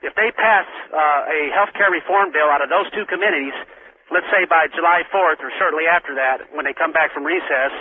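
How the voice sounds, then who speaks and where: An American man calling a radio show to argue politics, earnest and insistent with a halting delivery. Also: on the high side. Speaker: regular radio caller. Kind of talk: political argument on call-in radio. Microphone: telephone. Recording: tinny, noisy phone line on radio.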